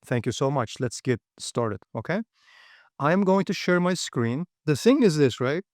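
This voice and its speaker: A man's voice: on the high side, lively intonation, moderate pace, no room sound, clean studio sound. Straight-talking man. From Uyghur region. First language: Uyghur. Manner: direct, frequent "okay?" and "right?" checks, non-native grammar. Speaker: seminar presenter. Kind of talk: motivational talk.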